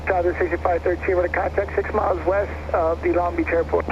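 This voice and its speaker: A man's voice: high voice, little pitch movement, a little fast. A man working as an air traffic controller, issuing clipped instructions over radio. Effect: aircraft radio. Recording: muffled noisy aviation radio.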